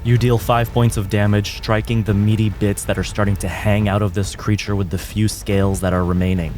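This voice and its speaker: Low-voiced man narrating a tabletop game. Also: flat delivery, noisy background. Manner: measured, descriptive narration. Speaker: game master. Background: music.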